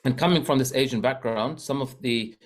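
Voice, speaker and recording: A man's voice: medium pitch, fairly quick. A man from Zambia, a well-being coach and podcast guest, talking earnestly with many fillers and restarts. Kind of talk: casual podcast interview.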